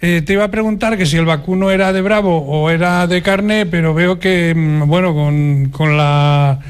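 Higher-voiced Spanish male co-host. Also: steady tone, moderate pace, smooth. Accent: Spanish from Spain. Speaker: interviewer, co-host. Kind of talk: informal interview chat.

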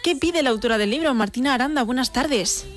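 A woman's voice: high-pitched, brisk. Woman radio host, chatty and playful, faint music under her. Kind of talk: casual radio chat.

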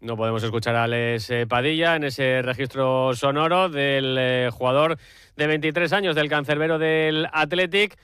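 A man reporting sports news in a fluent, steady way. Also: mid-range voice, moderate pace, smooth, dry recording, studio quality. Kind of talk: news commentary.

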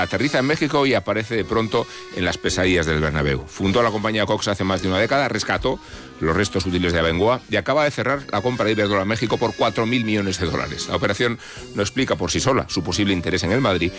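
A man's voice: fairly low pitch, lively intonation, fast. A man reading a scripted opinion column on radio in a literary, ironic manner. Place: radio studio. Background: music under the voice.

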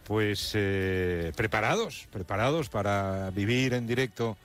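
A man's voice: on the low side, unhurried, fairly bright tone. A man with an accent from Spain giving a live radio report from location, repeating words for emphasis.

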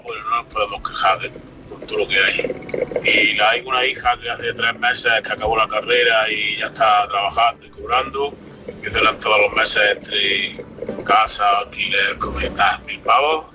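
A man's voice: fairly low pitch, fairly even intonation, normal speed. A man leaving a matter-of-fact voice message for a radio show. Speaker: listener. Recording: noisy voice message.

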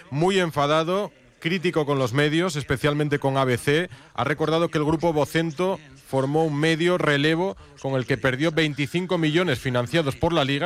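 A man with a Castilian Spanish accent giving a live sports news report in a measured, formal, explanatory way. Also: fairly high pitch.

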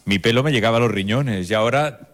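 A man co-hosting a local radio interview, cracking jokes and chipping in briefly. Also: mid-range voice. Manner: joking, brisk interjections. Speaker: radio co-host.

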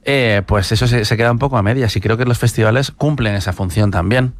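A man from Cantabria talking in a podcast discussion, pausing often with fillers. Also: medium pitch, no echo. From Cantabria, Spain. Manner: hesitant, many fillers. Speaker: podcast co-host. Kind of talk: casual discussion.

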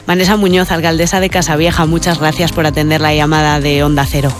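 A man co-hosting a regional radio magazine, smoothly reading scripted news links and interviewing guests. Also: fairly high pitch, level tone, fast talker, music in the background. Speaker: radio co-host. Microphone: studio microphone.

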